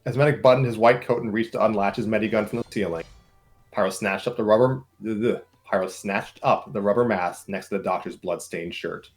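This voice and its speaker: A man's voice: lowish voice, fairly quick, soft background music. A man narrating a comic story aloud in an informal group setting, with casual asides.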